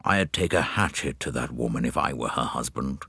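A man voicing a crusty old colonial gentleman with a hoarse, quavering voice, blunt and scornful, in a story reading. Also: extremely low pitch, animated, moderate pace, no echo, clean studio sound, pitched below the performer's own voice, exaggerated voice.

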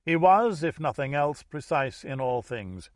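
A man voicing a formal, self-assured Dutch heir who addresses his relatives in a deliberate, commanding way, as in an audiobook thriller. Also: fairly high pitch, animated, no echo, clean studio sound, pitched above the performer's own voice.

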